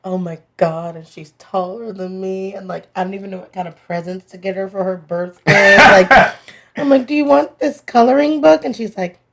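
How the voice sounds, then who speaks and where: A woman's voice: mid-range voice, normal speed, vocal fry, fairly bright tone, a little hiss or hum. A woman in her thirties, a musician guest on a podcast, talking candidly and casually, laughing easily. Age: 30s. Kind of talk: casual interview chat.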